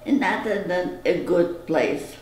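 An older woman from Lithuania recalling the war slowly, in short, reluctant sentences. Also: lowish voice, darkish tone, reverberant, slight background noise, low-quality recording. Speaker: interviewee. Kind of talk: slow, reluctant recollection.

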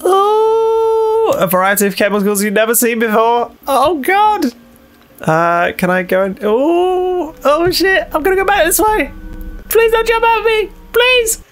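A man's voice: very high voice, big pitch swings, unhurried, a bit bright. A man playing a video game, commenting casually and reading notes aloud, often hesitating and repeating himself. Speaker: let's play host and player.

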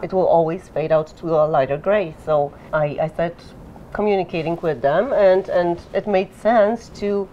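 A woman's voice: on the low side, moderate pace, lo-fi sound, slight background noise. A middle-aged woman from Poland, a guest explaining permanent makeup in an interview at a conference, with a friendly, agreeable manner. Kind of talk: interview answers. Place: conference room, indoors.

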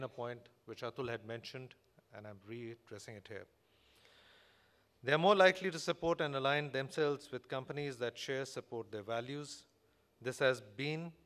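A man with an Indian accent delivering a formal, measured, scripted keynote speech at a conference as a corporate executive. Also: mid-range voice, a bit bright. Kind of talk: prepared conference presentation read from a script. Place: large hotel conference hall, on stage at a podium.

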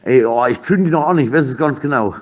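A man's voice: medium pitch, lively intonation, quick pace, darkish tone, no echo, highs cut off. A man chatting casually in an agitated, rambling way, stammering over repeated words, with slangy, crude swearing. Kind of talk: casual chat, agitated rant.

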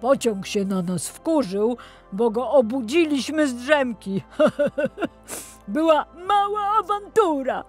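A woman voicing a plucky, excitable young male talking vehicle in an emphatic way for a children's story. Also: high-pitched, slow, husky, soft background music, voice pushed up, full character voice. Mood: indignant, excited. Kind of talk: children's audio story acting.

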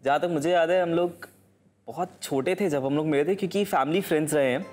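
A young man from Delhi, a contestant on a couples show, telling their love story casually, with relaxed pauses. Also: on the high side, soft background music. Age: young adult. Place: indoor TV studio set.

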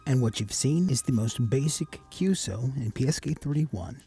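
A man with a gravelly, husky voice giving a casual, explanatory tutorial voice-over as the host of a hobby channel. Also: mid-range voice, expressive, moderate pace, mellow, faint music behind. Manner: casual, addresses viewers as guys.

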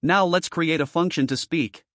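Male tutorial narrator, slightly high-pitched, scripted instructional read, very dry sound. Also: normal speed, fairly bright tone, no room sound. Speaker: programming tutorial presenter.

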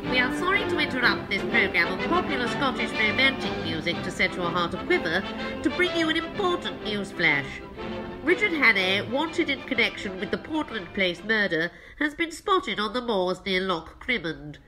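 A woman's voice: on the high side, big pitch swings, normal speed, over loud music, low-quality recording. A woman playing a formal yet smitten radio newsreader, reading a mock old-time news bulletin in a radio play. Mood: urgent, formal. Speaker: radio announcer.

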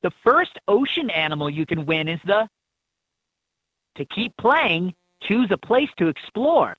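A woman's voice: mid-range voice, very animated, a little slow, slightly rough, no room sound. A woman voicing an encouraging instructor in a children's animal game, giving scripted instructions in slightly dull game audio. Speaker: educational game voice.

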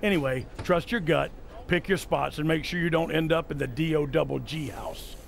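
A gravelly, folksy old trainer. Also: male voice, on the high side, moderate pace, slight background noise. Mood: friendly. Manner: folksy, homespun. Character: folksy older male wrestling trainer, joking.

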